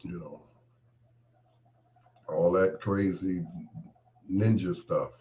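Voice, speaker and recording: A man with a cold hosts a late-night monologue in a very deep voice. He speaks slowly and sounds fed up, his sentences sinking away into quiet endings. A faint hiss of noise sits under the recording. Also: steady tone. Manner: irritated, dismissive, trailing off quietly. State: has a cold, voice partly lost. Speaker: host. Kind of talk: casual on-air monologue.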